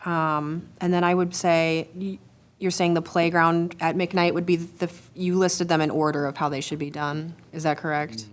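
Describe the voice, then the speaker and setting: A woman's voice: fairly low pitch, level tone, normal speed. Hesitant woman commissioner weighing options. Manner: hesitant, hedges with "I would say". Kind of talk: public meeting discussion. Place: city council chamber.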